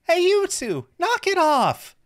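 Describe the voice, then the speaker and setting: A man puts on a bossy student from a visual novel game. The voice is pushed very high and loud, sharp and indignant, scolding like a stickler for rules. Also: wildly swooping pitch, takes it slowly, heavily acted.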